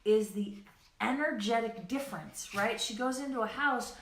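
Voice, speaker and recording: A woman's voice: a little high, moderate pace, a bit bright, a little hiss or hum. A woman co-hosting a coaching livestream, chatting casually and enthusiastically to her online audience in a gushing, rambling way, often checking in as she goes. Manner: giggly, gushing, rambling with frequent "right" and "like". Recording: roomy, echoing sound.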